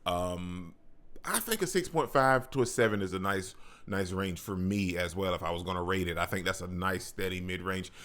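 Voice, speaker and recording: A man with a fairly low voice hosts a casual video review. He talks in a colloquial, slangy style with open enthusiasm, like he is chatting straight to his viewers. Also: normal speed, fairly bright tone. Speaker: movie reviewer and channel host.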